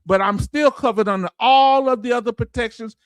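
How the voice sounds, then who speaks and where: A man in his late fifties whose voice sits high for a man, heard dry and close with no room sound. He teaches an online audience in relaxed, folksy talk. He keeps asking viewers the same questions until they answer. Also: fairly quick, no echo. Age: 50s. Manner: quizzes viewers with repeated questions. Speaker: veteran benefits streamer. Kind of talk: livestream lesson answering chat.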